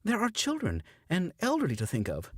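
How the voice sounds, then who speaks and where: A flustered, pleading woman leader, put on by a male narrator. Her voice climbs high and wavers with strain, husky and close. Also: huge pitch swings, brisk, no room sound, heavily acted.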